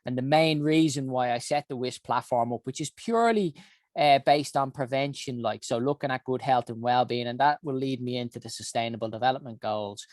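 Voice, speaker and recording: A man's voice: mid-range voice, steady tone, brisk, a little mellow, no echo, studio quality. A man in his early forties with a Dublin Irish accent, giving an earnest personal talk about his career, with many ums and uhs. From Ireland. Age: 40s. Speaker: health summit founder.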